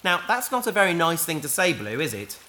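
A woman playing a patient, wry adventurer in a sci-fi audio drama, dryly and gently correcting an AI companion. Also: fairly low pitch, very animated, brisk. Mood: gentle, reassuring.

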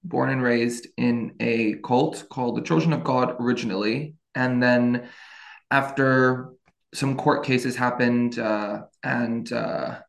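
A man's voice: mid-range voice, fairly even intonation, takes it slowly, gravelly, rough recording. A man in his twenties from France, a podcast guest telling his life story in a casual, hesitant way with many fillers and restarts. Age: 20s.

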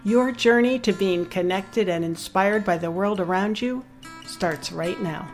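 A woman's voice: medium pitch, a little slow, over music. A woman hosting a podcast, polished and upbeat as she introduces her guest and asks questions. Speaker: podcast host. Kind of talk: scripted intro then interview questions.

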